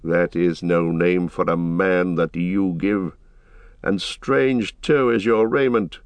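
A man's voice: low voice, fairly even intonation, slow, no room sound. Man voicing a proud, stern warrior horse-lord, close and dry. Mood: suspicious. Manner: formal, archaic, challenging speech. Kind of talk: dramatic audiobook fantasy reading.

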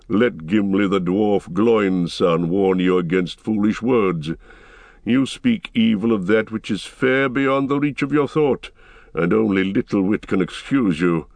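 A man's voice: deep voice, unhurried, darkish tone, dry recording. A proud dwarf warrior played by a male reader in a fantasy audiobook. He is blunt, touchy and defiant, with formal wording. The recording is close and dry. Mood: offended, warning. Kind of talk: dramatic audiobook fantasy reading.

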